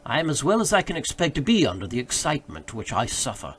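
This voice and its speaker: A man voicing an excited, grandiloquent gentleman obsessed with a quest in a literary audiobook, insistent in manner. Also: medium pitch, quick pace, a little hiss or hum. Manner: pompous, insistent. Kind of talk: literary audiobook character dialogue.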